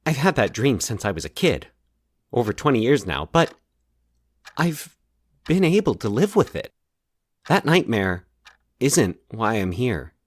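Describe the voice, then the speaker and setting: A man acting a troubled, anxious man in a fiction podcast, confiding his nightmares haltingly and with dramatic pauses. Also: medium pitch, very animated, dry recording, clean studio sound. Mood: haunted, halting. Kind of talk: dramatic audio fiction monologue.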